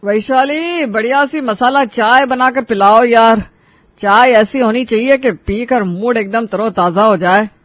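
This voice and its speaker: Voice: medium pitch, measured pace, a bit bright, dry recording, lightly acted. A performer voicing a henpecked husband in a family cartoon, reasoning and placating. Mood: showy, demanding. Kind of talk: Hindi family cartoon voice acting.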